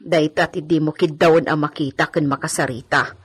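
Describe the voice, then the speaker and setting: A woman's voice: low-pitched, steady tone, brisk, slightly rough. An actress playing a chatty, concerned household helper woman in a village radio drama, speaking in a folksy, gossipy manner. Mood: worried gossip.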